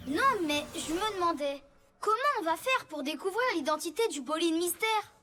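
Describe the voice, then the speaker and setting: A woman voicing an eager, cheeky young motorbike with an excitable, childlike manner in a French cartoon. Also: extremely high pitch, normal speed. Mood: determined. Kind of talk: French cartoon dub acting. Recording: music bed under the voice. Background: music.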